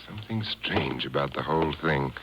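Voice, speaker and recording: An actor playing an urbane, wry gentleman detective in a 1950s radio drama, dry and teasing. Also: extremely low pitch, animated, normal speed. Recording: dull, hissy old radio tape.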